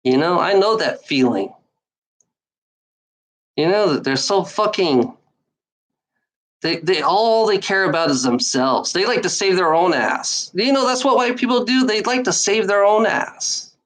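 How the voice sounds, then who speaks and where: A woman's voice: medium pitch, expressive, a little slow, rough recording. A woman delivering an angry, profane monologue to the camera, repeating phrases and restarting sentences often. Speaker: online commenter.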